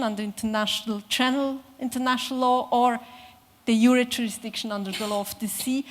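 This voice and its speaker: A woman panelist giving measured, careful academic remarks at a conference, pausing often with fillers. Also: on the high side. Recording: reverberant conference hall.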